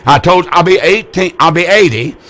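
An older man in his eighties preaches to callers on the air. His voice sits a little high for a man, and he talks in a folksy, repetitive way, stumbling over words and restarting often. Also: moderate pace, sharp tone. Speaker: radio preacher taking calls. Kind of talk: call-in religious broadcast.

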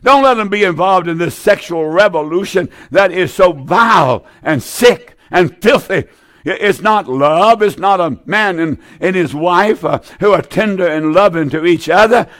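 A man's voice: high-pitched, a little slow, a bit bright. An older man preaching an evangelical radio sermon, exhorting listeners with repeated phrases and pointed questions.

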